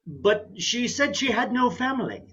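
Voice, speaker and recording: A man playing a sweet, gullible, bewildered physician, hesitant and slow to catch on, in a comic stage farce reading. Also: extremely high pitch, very animated, sharp tone, slightly rough, muffled recording, exaggerated voice. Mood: puzzled. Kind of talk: comic farce readers theatre.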